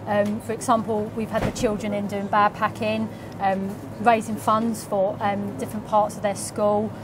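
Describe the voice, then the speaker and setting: A woman's voice: medium pitch, little pitch movement, normal speed, over music. A woman in her forties, a retail manager, talking in a friendly, chatty way with frequent ums during an on-site interview in a shop. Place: convenience store, indoors. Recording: noisy shop background. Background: shop ambience.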